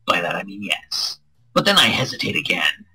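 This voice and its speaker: A man with a husky, strained voice reading a visual novel aloud expressively, with crude joking asides and stumbles over lines. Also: deep voice, huge pitch swings, moderate pace, pushed and bright, low-quality recording. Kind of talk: expressive read-aloud with casual banter.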